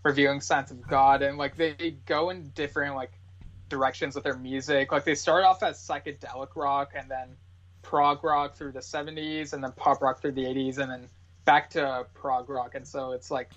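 A man's voice: medium pitch, fairly even intonation, moderate pace, fairly bright tone. A man speaking as a podcast guest in casual chat, with earnest fan enthusiasm, recorded with dull, lo-fi sound.

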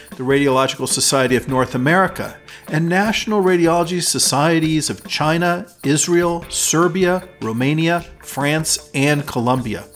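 Measured male podcast host. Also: on the high side, a bit bright. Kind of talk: scripted introduction then interview questions.

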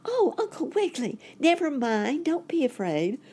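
Woman doing a high-pitched excitable little boy rabbit. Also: unhurried, exaggerated voice. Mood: alarmed, excited. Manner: exclaiming, breathlessly eager. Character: small excitable boy rabbit, eager and hopeful.

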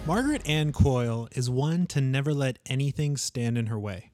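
A man hosting a podcast, reading a measured, earnest scripted introduction over soft music. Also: medium pitch, lively intonation. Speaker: podcast host.

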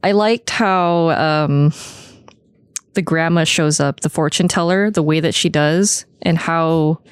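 A woman's voice: low voice, takes it slowly, clear, resonant tone, dry recording, studio quality. A woman co-hosting a podcast, chatting informally and bluntly, with some swearing. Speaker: podcast co-host. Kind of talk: casual anime discussion chat.

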